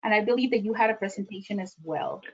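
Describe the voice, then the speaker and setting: A woman's voice: mid-range voice, fairly even intonation, quick pace. A woman hosting a virtual event, thanking and formally introducing speakers over an online call. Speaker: event host. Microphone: online video call. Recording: slightly dull online call audio.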